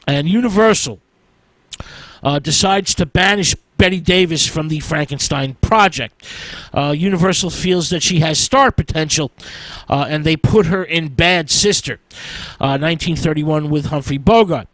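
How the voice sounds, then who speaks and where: A man with a noticeably high-pitched voice presents like a history show host. He speaks in short, halting chunks, informally lecturing as if from notes. The sound is close and dry, with very little room in it. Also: fairly bright tone, no echo, low-quality recording. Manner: halting, choppy delivery in short phrases.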